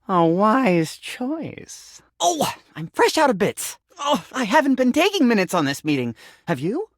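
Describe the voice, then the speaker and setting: A man's voice: very high-pitched, no room sound, clean studio sound, exaggerated voice. An actor voicing an eccentric talking sink who believes he is a man, in a florid, theatrical video game style. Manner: florid, theatrical, whimsical, swinging between grandiose and deadpan.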